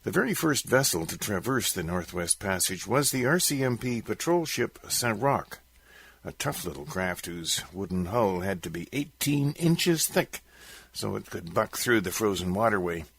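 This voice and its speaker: A man presenting on radio, reading scripted narration in a gravelly, husky voice. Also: fairly low pitch, sing-song, moderate pace, a bit bright. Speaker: radio host presenting folk music.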